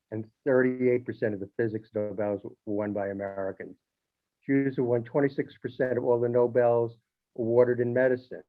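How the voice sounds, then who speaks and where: A columnist man at a middle pitch, talking in a loose, chatty way that trips over its own words and adds dry jokes. The sound is narrow and thin, as if heard over a phone line. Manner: conversational, with restarts and wry asides. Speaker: newspaper columnist. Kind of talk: informal monologue.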